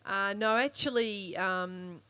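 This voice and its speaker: A woman's voice: medium pitch, slow pace, pushed and bright, clear, resonant tone, no echo. A woman moderating a festival panel in front of an audience, chatty and jokey, taking questions informally. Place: live event room with an audience.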